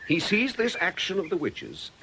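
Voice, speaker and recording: An earnest, troubled British vicar in a radio drama. He speaks in a genteel, hesitant way, with very animated pitch movement. Faint noise sits on the old recording. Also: moderate pace, exaggerated voice.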